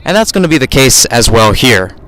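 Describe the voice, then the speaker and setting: A man's voice: mid-range voice, sing-song, fairly quick, fairly bright tone, poor audio. A man calling live soccer play-by-play for radio, describing the action as it unfolds and often correcting himself mid-phrase. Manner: running description with frequent self-corrections.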